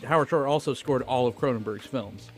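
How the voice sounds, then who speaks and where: A man's voice: medium pitch, fairly quick. A man with a mid-range voice speaks as a podcast co-host. His delivery is casual film talk, informal and slangy, and faint music sits behind his voice.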